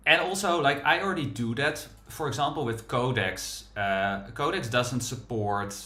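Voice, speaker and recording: A man with a Dutch accent testing software live, thinking aloud in halting, self-deprecating casual chat. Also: medium pitch, reverberant, faint music behind. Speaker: developer testing a tool on screen.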